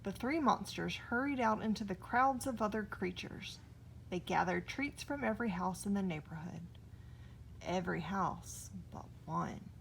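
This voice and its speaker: A woman's voice: mid-range voice, animated, normal speed, vocal fry, low-quality recording. A woman narrating a children's story expressively, recorded with noise behind her. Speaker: storybook reader.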